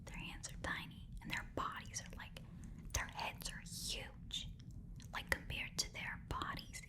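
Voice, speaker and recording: Woman as a whispering, rambling goblin shopkeeper. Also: close to an everyday voice. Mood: disgusted, grumbling. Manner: rambling, casual, muttering, slangy. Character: small, sly, blunt goblin pawnshop keeper. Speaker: ASMR performer playing a shopkeeper. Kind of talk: ASMR roleplay, whispering.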